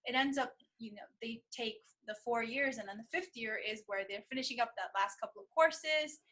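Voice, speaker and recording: A young woman hosting an interview, chatty and animated, sharing her own student experiences. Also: on the high side, moderate pace. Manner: chatty, lots of fillers, animated. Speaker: interview host, medical student. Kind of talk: casual interview conversation.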